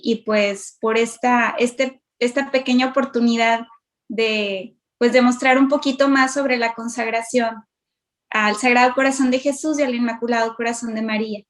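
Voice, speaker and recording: A woman with a northern Mexican accent giving a personal testimony as an interview guest on a video call, with hesitant pauses. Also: fairly high pitch, steady tone. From Matamoros, northern Mexico. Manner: hesitant, pausing. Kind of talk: personal testimony, conversational storytelling.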